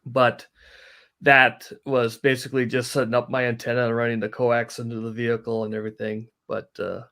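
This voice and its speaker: Male guest, mid-range pitch, casual explanatory talk, matter-of-fact, practical step-by-step delivery. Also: little pitch movement, a little fast, sharp tone. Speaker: guest, hobbyist sharing practical tips.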